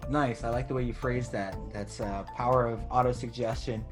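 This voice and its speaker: A man's voice: medium pitch, fairly even intonation, moderate pace, soft background music. A man hosting an interview show, chatting casually and asking questions in an informal, curious way. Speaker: podcast host.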